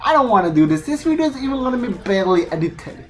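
A man's voice: sits high, sing-song, quick pace. A nineteen-year-old man with an Indian accent chatting casually and off the cuff in a vlog, often interrupting himself. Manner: rambling, self-interrupting, casual swearing. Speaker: YouTube vlogger, student. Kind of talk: casual unscripted vlog chat. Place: small bare room indoors. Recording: noisy room with background rain.

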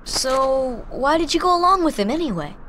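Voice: high voice, expressive, normal speed, bright, forceful tone. A performer playing an earnest, friendly teenage boy hero in a video game, open, eager and youthful. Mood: concerned. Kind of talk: video game cutscene acting. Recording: faint background noise.

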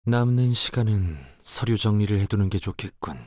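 A man's voice: on the low side, a little mellow, dry recording, studio quality, natural voice. A man voicing a game character, a composed, melancholy young office fixer who speaks in a formal, old-fashioned, reserved way. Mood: grave, earnest. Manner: measured, archaic formal speech, reserved. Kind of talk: scripted game character voice lines.

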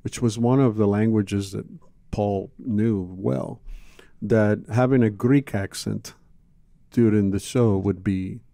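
A man's voice: fairly low pitch, steady tone, slow, vocal fry, mellow, no echo. A man, talking casually and reflectively as an interview guest, close on a studio microphone. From Cuba. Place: small indoor studio.

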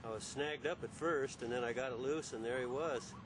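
A man's voice: mid-range voice, a little fast. A man casually narrating his shore fishing trip in an outdoor harbor park, talking in an easygoing, chatty way with frequent fillers. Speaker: fishing vlogger, ship crew member. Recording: outdoor recording with loud wind noise.

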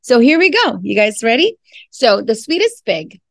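A woman's voice: a little high, big pitch swings, normal speed, gravelly, dry recording. A middle-aged woman, a teacher reading a picture book aloud with chatty, friendly asides to young listeners. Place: indoors in a classroom.

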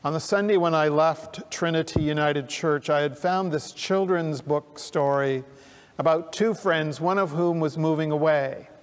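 An older male minister delivers his sermon at a slow, unhurried pace, his voice sitting a little high for a man. He speaks earnestly with deliberate pauses into a pulpit mic, and the sound rings out with the long reverb of a church sanctuary. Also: smooth. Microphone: pulpit microphone.

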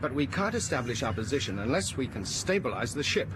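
An actor playing a stern, brisk spaceship commander in a 1980s radio science-fiction drama. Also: male voice, mid-range voice, very animated, noisy background, lightly acted. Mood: brisk, focused.

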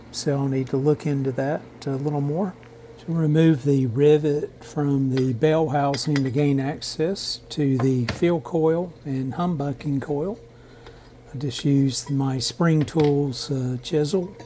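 A man narrating an instructional repair video in a halting, measured, technical way. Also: mid-range voice, warm tone, a little hiss or hum. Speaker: host of a radio repair channel.

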